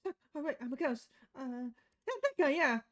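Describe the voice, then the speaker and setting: High voice, nervous rebellious teen girl, acted by a woman, hesitant stammer, pleading, video game character. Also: very animated, moderate pace. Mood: flustered. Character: nervous, rebellious teenage girl posing as a ghost.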